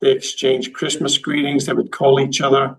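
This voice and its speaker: A man in his fifties with a softened Liverpool English accent, speaking conversationally as a museum director being interviewed. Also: medium pitch, completely flat delivery, moderate pace, vocal fry, slightly rough. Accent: English, from Liverpool, softened by years in America. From Liverpool, England. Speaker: guest, museum and library director.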